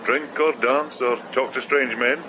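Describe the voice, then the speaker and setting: A man's voice: medium pitch, steady tone, a little slow, lightly acted. An actor playing a flirtatious, sardonic man in a radio drama, with a wry, abrupt manner. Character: flirtatious, sardonic male cruise passenger. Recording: dull radio recording, noisy background.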